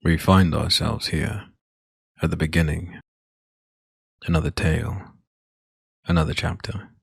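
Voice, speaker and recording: A man reading scripted dark fantasy narration in a measured, dramatic, gravelly voice. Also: a bass voice, steady tone, no echo. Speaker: audiobook narrator.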